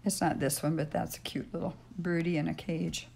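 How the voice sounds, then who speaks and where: An older woman with a raspy, gravelly voice walks through a clay project in a relaxed, rambling way, pausing with ums as she works. Her voice sits fairly low, and a faint hiss runs under the fixed camera mic in her home craft room. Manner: chatty, thinking aloud with many ums while working. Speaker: polymer clay tutorial host. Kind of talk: casual craft demonstration. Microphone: stationary camera mic.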